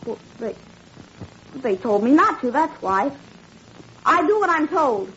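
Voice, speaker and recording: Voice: high voice, sing-song, slow pace, fairly bright tone, heavily acted. An actor playing a stiff, defensive eleven-year-old boy, an indoctrinated and guarded German youth, in a 1940s radio drama. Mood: sullen, guarded. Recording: muffled, noisy old radio recording.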